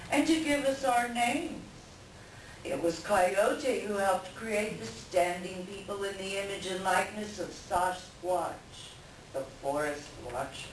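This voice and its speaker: A woman playing a grave, commanding leader of the animal people in a readers theater folktale, speaking deliberately. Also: mid-range voice. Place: library room at a live reading. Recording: echoey room, distant mic, faint noise.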